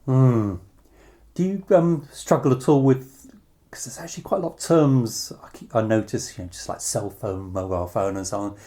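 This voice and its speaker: A man's voice: medium pitch, normal speed, warm tone. A man interviewing in a conversational style, putting his questions in a hesitant, tentative way.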